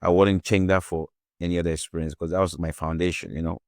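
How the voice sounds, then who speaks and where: Man from Ghana, very low voice, dry sound, rambling storyteller who keeps saying "you know". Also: little pitch movement, quick pace, no room sound. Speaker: guest author. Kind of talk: casual interview.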